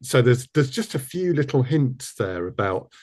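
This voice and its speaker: A man's voice: mid-range voice, sing-song, normal speed, darkish tone, a little hiss or hum. A man interviewing casually as a producer, with a hesitant, jokey way of talking. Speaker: interviewer, audio drama producer.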